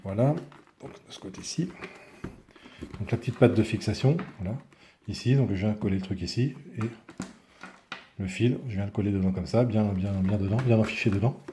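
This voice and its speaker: Low, mellow-voiced man, casual tutorial. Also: fairly quick, long pauses, slight background noise. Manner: informal, colloquial, hesitant searching for words. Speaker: product reviewer and motorcyclist. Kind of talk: casual hands-on tutorial and review.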